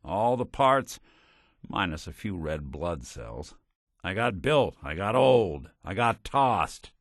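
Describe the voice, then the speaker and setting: A world-weary old android private eye from a video game. The low voice moves in lively swings of pitch, wry but kindly, with 1940s noir patter. The recording is close and dry. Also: male voice, takes it slowly, no room sound, full character voice. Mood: weary, candid. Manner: wry, dry, old-fashioned gumshoe slang. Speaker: detective.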